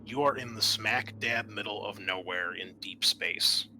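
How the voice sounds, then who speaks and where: Bright, forceful-voiced man running a game. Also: lowish voice, unhurried, a little hiss or hum. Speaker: game master. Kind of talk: improvised narration of a tabletop game.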